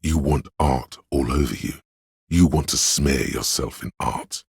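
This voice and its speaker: Very deep, gravelly, pretentious inner voice. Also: male voice, measured pace, a little mellow, no echo, clean studio sound, exaggerated voice. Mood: insistent, goading. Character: pretentious art-obsessed inner voice, male, musing and cutting. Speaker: narrator of a character's inner skill voice. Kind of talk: literary game narration.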